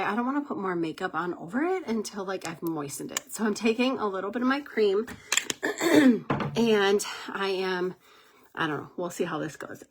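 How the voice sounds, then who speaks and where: A woman with a cold, congested and husky, chatting casually while doing her makeup on a livestream, rambling and laughing often. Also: mid-range voice. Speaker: makeup live-stream host.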